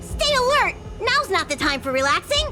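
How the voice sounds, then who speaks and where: A woman's voice: very high voice, sing-song, moderate pace, background noise. A woman voicing a small, fussy, bossy creature who works as a train conductor in a video game. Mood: focused, bossy. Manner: bossy, refers to self by name.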